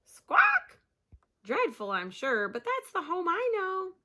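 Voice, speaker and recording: Storybook mother gull, sudden shrill squawks, sing-song rhyming, bright lively voice, a woman puts her on. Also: a little slow, exaggerated voice.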